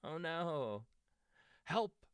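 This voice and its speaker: Storybook toad, acted by a man: male, anxious and melodramatic, raised pitch, close dry sound. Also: sing-song, extremely slow, clean tone, dry recording, full character voice. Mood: distressed, stubborn. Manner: wailing, exclamatory when upset.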